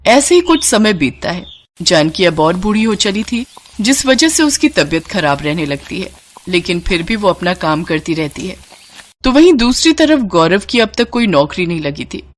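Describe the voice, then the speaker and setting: A woman narrating a scripted moral story in an expressive storyteller's style. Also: on the low side, normal speed.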